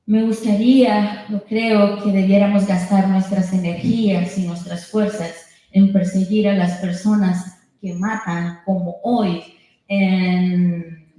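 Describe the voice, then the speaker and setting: A woman with a Spanish accent makes a public comment as a union member. Her voice is mellow and mid-pitched, and she speaks in short passages. The meeting room rings with heavy echo. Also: flat delivery, unhurried. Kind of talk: public comment speech with pauses for an interpreter. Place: indoor public meeting hall.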